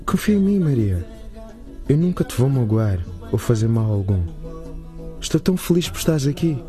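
An actor playing a gentle young man who talks softly and reassuringly in a radio drama. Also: mid-range voice, big pitch swings, normal speed, soft background music, natural voice. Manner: soft, coaxing, reassuring.

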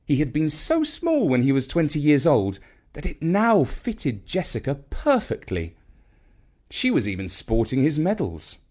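Slow, very animated male storyteller. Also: a little high. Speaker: story narrator. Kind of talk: expressive scripted children's story narration.

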